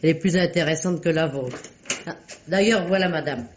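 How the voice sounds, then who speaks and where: Une actrice qui joue, dans une dramatique policière radiophonique, une bonne veuve et âgée, revêche, sarcastique et brusque. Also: female voice, low voice, brisk, a little hiss or hum, lightly acted. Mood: sarcastic. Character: sharp-tongued, grumbling older widowed maid. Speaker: actress in a radio mystery play. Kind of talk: French radio mystery drama acting, 1950s manner. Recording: dull vintage radio recording.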